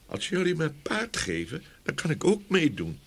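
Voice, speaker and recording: Casting: humble young dreamer for a storybook play, creaky voice, animated and wondering. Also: male voice, on the high side, moderate pace, full character voice. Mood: eager. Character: simple, idle young peasant man, humble dreamer.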